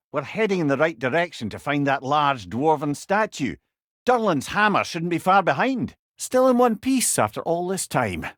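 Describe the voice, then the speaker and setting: Old Scottish sage, lively swooping intonation, wry, eloquent, rueful, video game companion, very dry close sound. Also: male voice, on the high side, no room sound. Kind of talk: video game character dialogue.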